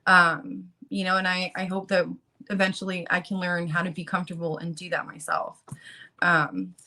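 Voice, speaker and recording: A woman's voice: fairly low pitch, flat delivery, normal speed, sharp tone, a little hiss or hum. A woman in her late twenties, talking candidly on a livestream with lots of ums and you-knows, groggy from just waking up. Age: 20s. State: just woke up, slightly groggy. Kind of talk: casual informal talk, reading resources aloud.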